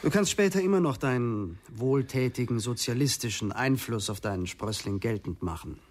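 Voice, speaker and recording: Man acting teasing brother, radio drama, mid-pitched male voice, hearty, jovial, ironic. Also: lively intonation, normal speed, natural voice. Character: jovial, teasing conservative brother with a big family.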